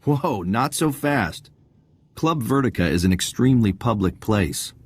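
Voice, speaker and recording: An actor playing a confident, wry military intelligence agent giving a mission briefing in a video game. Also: male voice, medium pitch, lively intonation, a little slow, husky, no room sound. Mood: assured briefing. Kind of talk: video game cutscene dialogue.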